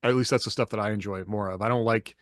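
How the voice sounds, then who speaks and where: Male co-host, slightly low register, dry recording, opinionated and conversational, rambling but emphatic. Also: fairly even intonation, fast talker, no room sound. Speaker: podcast co-host. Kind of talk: casual opinionated discussion.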